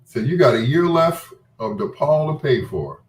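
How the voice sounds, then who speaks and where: A man's voice: mid-range voice, animated, moderate pace, a little hiss or hum. A middle-aged man hosting a radio show in a casual late-night chat, laid-back, folksy and teasing with his listeners. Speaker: radio host.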